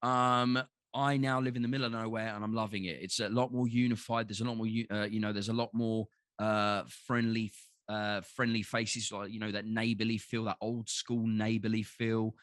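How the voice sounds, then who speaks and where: Passionate low-voiced Cockney man. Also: moderate pace, dry recording, studio quality. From London, England. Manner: passionate, animated, frequent restarts and swearing. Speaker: podcast guest. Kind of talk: casual podcast conversation.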